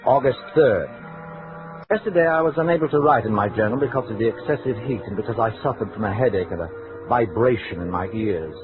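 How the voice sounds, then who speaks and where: A man's voice: lowish voice, expressive, fairly quick, lightly acted. Guy acting as stuffy cold intellectual murderer narrating his diary, tormented, old time radio drama, tinny sound w music behind. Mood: strained, controlled. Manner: pedantic, formal, self-important, clinical diction. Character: cold, precise male scholar-murderer, increasingly tormented, narrating his journal. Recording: vintage radio, narrow band, music behind.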